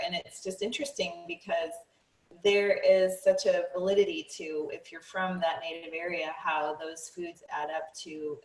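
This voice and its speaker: A woman's voice: on the low side, reverberant, distant mic, a little hiss or hum, low-quality recording. A woman talking casually as a nutrition coach guest, chatty and given to laughing and trailing off mid-sentence. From Northern European descent. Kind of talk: casual interview chat.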